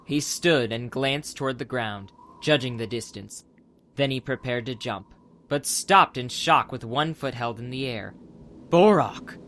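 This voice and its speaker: A male narrator with a mid-pitched voice reads a scripted adventure story in a dramatic audiobook style. Faint ambient noise sits behind his voice. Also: a little slow, fairly bright tone.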